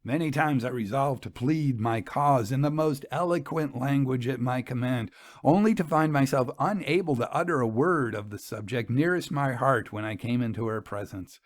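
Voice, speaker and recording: A man's voice: medium pitch, normal speed, no room sound, studio quality. A man reading a story aloud in an earnest, formal and theatrical way. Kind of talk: dramatic scripted story narration.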